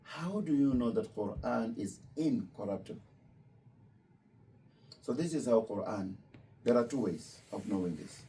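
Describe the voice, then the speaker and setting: A low-pitched man with a very dark, mellow voice explains his faith to visiting students. He is patient and repeats key points slowly. He sits some way from the mic, with faint room noise behind him. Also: animated, distant mic. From Kenya. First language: Luo. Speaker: imam.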